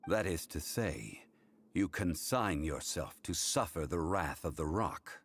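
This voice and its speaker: Very deep, stern, formal gentleman. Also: lively intonation, heavily acted. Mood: grave, measured. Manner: measured, formal, solemn. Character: calm, stern, formal man who upholds contracts. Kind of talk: video game voice acting.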